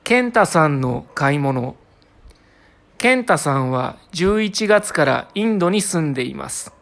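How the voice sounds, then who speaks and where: A woman's voice: low-pitched, animated, very slow, vocal fry. A woman from Japan reading short lesson passages aloud carefully, as a native speaker for learners.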